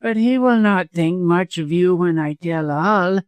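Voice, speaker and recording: A sneaky, spiteful lawyer villain from a storybook, acted out by a male narrator. The voice sits high and snarls harshly, cowardly yet full of venom. The sound is close and dry. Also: measured pace, clean tone, no room sound. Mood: sly, menacing. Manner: snarling, gasping, harsh, chuckling.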